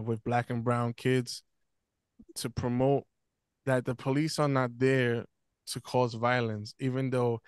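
A man's voice: mid-range voice, smooth, dry recording. A young man on a video call, speaking earnestly into a close desk mic, with many fillers and restarts. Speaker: podcast guest speaker. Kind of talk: casual panel discussion. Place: small room at home, video call. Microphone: close desk mic on stand.

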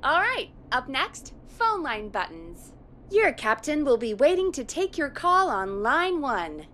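Game role: teasing, perky radio producer, high-pitched and very expressive. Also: female voice, unhurried, a little hiss or hum, exaggerated voice. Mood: playful. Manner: playful, bubbly. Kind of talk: game voice acting.

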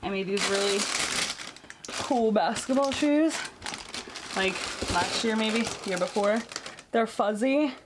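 A woman's voice: mid-range voice, takes it slowly, pauses often. Chatty woman vlogging while tidying. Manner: chatty, rambling, thinking aloud while tidying. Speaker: beauty YouTuber. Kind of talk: casual cleaning vlog chat. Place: bedroom beauty area with shelves, indoors. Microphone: camera mic, moving toward and away from it. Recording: noisy room tone with clicks.

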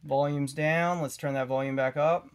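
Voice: medium pitch, fairly even intonation, normal speed. A tech tutorial host giving a casual hands-on demonstration in a cautionary, instructive manner.

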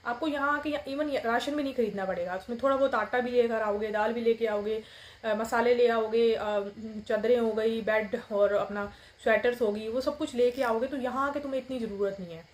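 Chatty woman listing tips, slightly high voice. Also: fairly even intonation, brisk, low-quality recording. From India. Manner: chatty, explains with numbered points, many fillers. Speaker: vlogger. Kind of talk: casual advice vlog. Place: small indoor room with plain wall.

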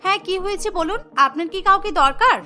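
A woman's voice: extremely high pitch, expressive, fast talker, pushed and bright, soft background music, full character voice. A woman voicing a guarded, sly young woman in a cartoon, with a questioning, defensive manner. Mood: innocent, defensive. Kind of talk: cartoon character dialogue.

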